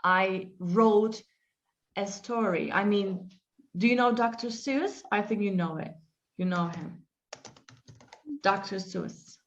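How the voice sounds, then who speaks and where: Slow-speaking woman teacher. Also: medium pitch, highs cut off. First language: Turkish. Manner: slow, reflective, with frequent fillers and restarts. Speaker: English teacher being interviewed. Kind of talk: interview answers, storytelling.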